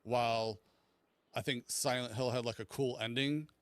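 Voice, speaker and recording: Male podcast host, clear resonant mid-range voice, hesitant and loose, moderating a casual chat. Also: mid-range voice, fairly even intonation, normal speed, no room sound. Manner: hesitant, rambling, unscripted.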